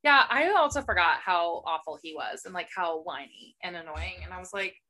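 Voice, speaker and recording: Bright, sarcastic young woman. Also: fairly low pitch, expressive, moderate pace. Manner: chatty, sarcastic, mimics characters. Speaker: podcast co-host. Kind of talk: casual chat about books.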